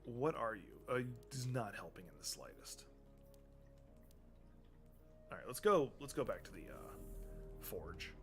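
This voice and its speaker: A male streamer with a lilt, rambling casually through his loadout with frequent ums and self-corrections. His voice is mid-pitched and wearing thin and hoarse from a long session, with faint music playing underneath. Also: very animated, a little slow, long pauses. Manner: rambling, many ums and restarts, talking through menus. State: losing his voice. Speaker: game streamer. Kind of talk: casual live-stream commentary.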